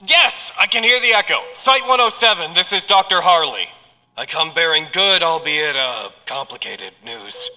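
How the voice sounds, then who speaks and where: An actor playing a formal male doctor making a measured, careful announcement over an echoing public-address system in an audio drama. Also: fairly high pitch, animated, bright, forceful tone. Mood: official, careful. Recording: echoing noisy PA, distant, long reverb.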